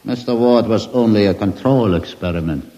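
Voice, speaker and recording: An actor playing a coldly polite, villainous scientist, speaking formally and smugly in old radio drama style. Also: male voice, on the low side, mellow, close to an everyday voice. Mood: gloating, clinical. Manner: formal, measured, smugly courteous. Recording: muffled old radio recording, long reverb.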